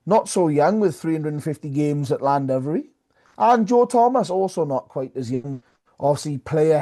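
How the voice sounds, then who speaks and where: A man's voice: on the high side, warm tone. A man co-hosting a sports podcast, chatting casually about rugby.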